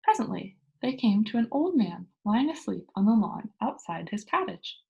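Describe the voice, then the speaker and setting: Animated woman reading a children's story. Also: medium pitch, moderate pace, vocal fry, breathy edge, dull audio. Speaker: storybook reader. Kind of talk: expressive children's story narration.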